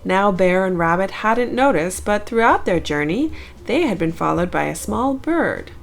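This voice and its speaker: A woman narrating a children's picture book in an expressive, deliberate read-aloud style with dramatic pauses. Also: mid-range voice, gravelly.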